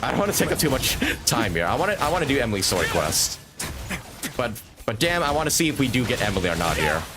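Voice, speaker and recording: A man doing casual, informal live gameplay commentary, thinking out loud as a streamer. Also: medium pitch, big pitch swings, quick pace. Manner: informal, swearing, thinking aloud. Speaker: game livestreamer. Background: video game music and sound.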